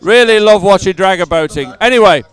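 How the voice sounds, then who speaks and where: High, clear-voiced man reporting live. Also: expressive, fairly quick, no echo. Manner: chatty, informal. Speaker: roving reporter and interviewer. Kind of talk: live sports interview and results announcing. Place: outdoors beside a race course.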